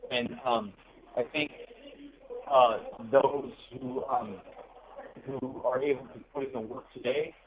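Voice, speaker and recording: A middle-aged man from Southern California talking casually and reflectively from prison over a phone line, in a halting way. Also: mid-range voice, steady tone, crowd noise. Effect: telephone line. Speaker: incarcerated interview guest calling from prison. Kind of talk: casual personal storytelling and reflection. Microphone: telephone handset.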